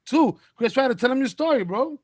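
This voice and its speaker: A brash man hosts a casual panel, joking and swearing freely. His voice sits high for a man. The sound is very dry and close. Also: animated, moderate pace, dry recording. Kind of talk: casual chat.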